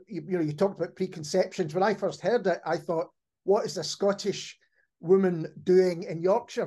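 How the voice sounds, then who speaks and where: A man with a notably high-pitched voice interviews a guest as a podcast host. His delivery is casual and conversational, musing aloud as he builds up his points. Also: darkish tone.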